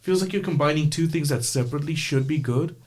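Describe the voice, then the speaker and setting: A man's voice: a little high, fast talker. A young man, co-hosting a casual student radio chat, rambling along with lots of fillers. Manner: rambling, laid-back, hedging with fillers.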